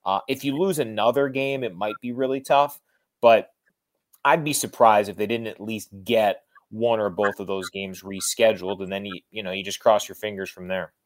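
A man's voice: fairly low pitch, fairly even intonation, moderate pace. An American man giving conversational sports analysis as an interview guest, emphatic and repeating words as he reasons things through. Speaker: sports radio play-by-play broadcaster, interview guest.

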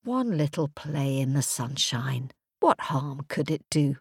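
Woman as a weary, slow-talking caring mother. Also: very deep voice, lively intonation, husky, dry recording, clean studio sound, pitched below the performer's own voice, full character voice. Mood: weary, relenting. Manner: weary, gentle. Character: tired, caring, worried mother who gives in.

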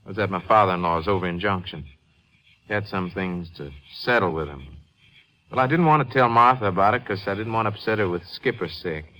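Sharp, testy deputy. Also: male voice, sits low, brisk, rough recording. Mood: resentful. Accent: American. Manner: defensive, forceful. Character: testy, evasive male deputy under questioning. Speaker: supporting actor in a radio drama. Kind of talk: 1950s radio western acting. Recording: vintage 1950s radio recording.

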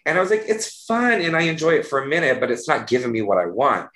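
A man co-hosting a casual chat show, playful and animated with big swings in his voice. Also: a little high, fast talker. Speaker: podcast co-host. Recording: roomy echo.

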